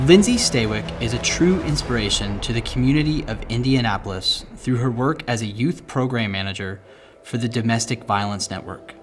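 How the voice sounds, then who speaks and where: A man's voice: medium pitch, moderate pace. A man reading a written tribute aloud in scripted narration. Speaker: company employee reading submission stories. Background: background music.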